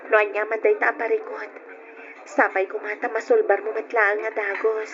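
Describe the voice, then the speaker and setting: A woman's voice: mid-range voice, level tone, moderate pace, natural voice. A young woman with an Ilocano accent playing an affectionate, questioning girlfriend in an old radio drama. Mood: concerned. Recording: noisy, dull lo-fi radio recording.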